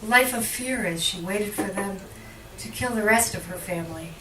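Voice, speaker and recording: A woman giving a live poetry reading in measured, deliberate phrases with emphatic line breaks, recorded indoors at a cafe. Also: medium pitch, a bit bright. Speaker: poet, featured reader at a poetry reading. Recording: roomy, distant live recording with faint noise.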